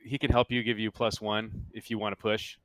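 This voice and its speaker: A man acting as game master, narrating and ruling casually, haltingly, often thinking aloud. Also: on the low side, little pitch movement, dry recording, poor audio.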